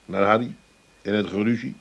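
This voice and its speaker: An actor playing a scheming, coaxing working-class husband in a comedic radio play. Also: male voice, lowish voice, steady tone, fairly quick, creaky, a bit bright, muffled recording.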